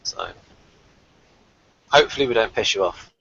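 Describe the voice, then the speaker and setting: A man's voice: on the low side, big pitch swings, moderate pace, creaky, sharp tone. A man hosting a casual review discussion on a video call, rambling and thinking aloud as he goes. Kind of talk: casual unscripted chat. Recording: dull lo-fi video call audio.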